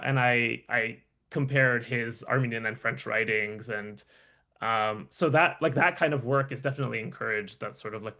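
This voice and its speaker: A man's voice: medium pitch, vocal fry, pushed and bright. A man, a doctoral student, answering questions on a video call, hesitant with many restarts and fillers. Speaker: PhD student.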